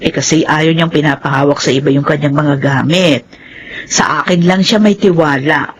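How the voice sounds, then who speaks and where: A woman's voice: deep voice, moderate pace, a little hiss or hum, lightly acted. An actress playing a doting elderly mother in a Filipino radio drama, with a Filipino accent. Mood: fond, chatty. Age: older.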